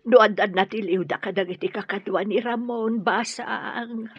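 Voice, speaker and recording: A woman's voice: medium pitch, steady tone, measured pace, breathy edge. An actress playing a plaintive, tearful grandmother pining for her grandchild in a radio drama. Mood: anxious. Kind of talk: Ilocano radio drama acting. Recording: dull, dry radio recording.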